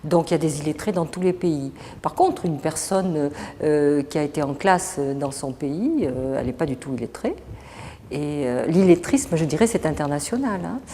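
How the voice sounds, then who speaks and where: Low, dark-voiced woman explaining. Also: expressive. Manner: measured, explanatory, many hesitations. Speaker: teacher running a French course association. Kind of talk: explaining in an interview. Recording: echoey hall, faint background noise.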